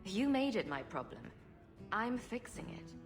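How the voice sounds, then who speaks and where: An actress playing a bold, wry, take-charge adventurer in a fantasy video game. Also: female voice, on the high side, big pitch swings, unhurried. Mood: firm. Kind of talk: video game dialogue acting. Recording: clear music under the voice.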